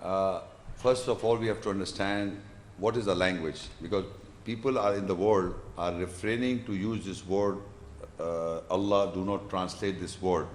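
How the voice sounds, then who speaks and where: A man's voice: low voice, steady tone, moderate pace, a little hiss or hum. A man lecturing and answering audience questions, explaining patiently and repeating words as he builds his sentences. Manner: recites scripture verses in Arabic. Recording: echoey lecture hall.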